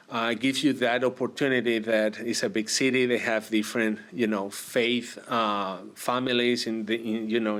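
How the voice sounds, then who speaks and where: A man from Argentina, a priest, telling his story in an interview, with many pauses and fillers. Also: fairly low pitch, fairly bright tone. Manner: hesitant, many fillers and restarts. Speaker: priest, guest.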